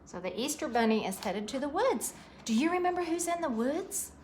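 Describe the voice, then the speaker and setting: A woman's voice: high-pitched, very animated, a little fast, a little mellow, slight background noise. A woman reading a picture book aloud to young children, expressively, with chatty asides and questions to her listeners.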